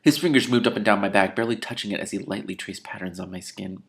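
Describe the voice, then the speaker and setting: Man, slightly low gravelly voice, book read-aloud, sarcastic commentary, incredulous and irreverent. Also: fast talker, slight background noise. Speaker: host reading a novel aloud with commentary. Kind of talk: reading aloud with mocking, casual asides.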